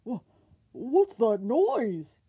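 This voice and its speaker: A puzzled, polite seal from a children's storybook, voiced by a woman reader, pitched up high and swooping. The questions come out slowly and pleadingly, full of wonder. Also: big pitch swings, husky, phone-quality sound, full character voice. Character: Magnus, puzzled, polite young ringed seal seeking help.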